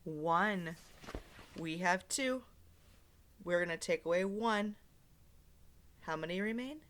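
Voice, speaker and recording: A woman's voice: lowish voice, takes it slowly, fairly bright tone, slight background noise. A woman teacher doing an interactive read-aloud for young children, explaining simply, asking questions and reading expressively.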